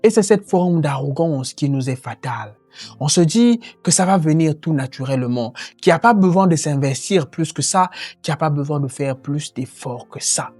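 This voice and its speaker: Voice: medium pitch, animated, normal speed, a little mellow, no room sound, soft background music. A voice narrating a French-language lesson on learning English, earnest and explanatory. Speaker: language teacher.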